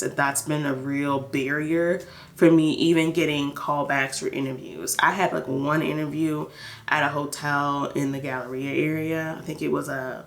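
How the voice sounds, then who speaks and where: A woman's voice: low voice, vocal fry, fairly bright tone. A thirty-year-old American woman chatting casually and candidly in a personal vlog, telling her story with emphatic, conversational phrasing. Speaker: vlogger. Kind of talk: casual personal catch-up chat.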